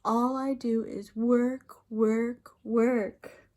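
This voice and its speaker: A woman's voice: fairly high pitch, little pitch movement, very slow, warm tone. D W, a woman doing a whiny little girl. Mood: indignant whine. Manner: whiny, indignant, sing-song complaining. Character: pouty bratty little girl aardvark.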